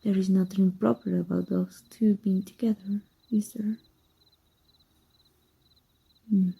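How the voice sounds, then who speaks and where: A woman voice actor playing a tender, teasing evil magic teacher in an intimate ASMR roleplay, slow and hushed with a husky, breathy voice. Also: mid-range voice, level tone. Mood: flattered, coy. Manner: slow, hushed, deliberate, seductive.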